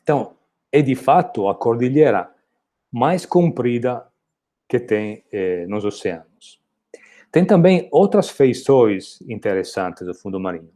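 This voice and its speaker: Italian-accented male professor, non-native speaker, mellow low-brightness tone, patient explanatory lecture delivery. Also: sing-song, unhurried. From Italy. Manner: explanatory, with hesitations and restarts. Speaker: university lecturer. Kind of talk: recorded online lecture.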